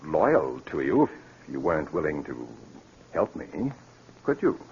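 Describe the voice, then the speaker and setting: An actor playing a scheming, controlling blackmailer in vintage radio drama, falsely polite and commanding when crossed. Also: male voice, very deep voice, very animated, a little slow, darkish tone, full character voice. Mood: smooth pressure. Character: smooth, scheming male blackmailer with a controlling temper. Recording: muffled, noisy 1940s-50s radio recording.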